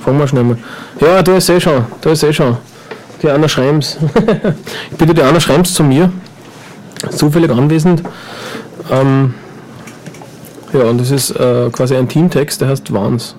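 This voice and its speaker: A man's voice: a little high, measured pace, darkish tone, slight background noise. A man with an Upper Austrian accent chatting casually as a guest in a radio studio, rambling and joking with lots of fillers. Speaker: guest performer.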